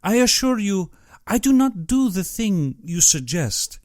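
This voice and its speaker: Storybook villain: male reader as a genteel, menacing general, pompous and over-polite, pitched up and swinging widely, unhurried. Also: big pitch swings, dry recording, clean studio sound. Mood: smug politeness. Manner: pompous, exaggeratedly courteous. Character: genteel, menacing aristocratic general who hunts men.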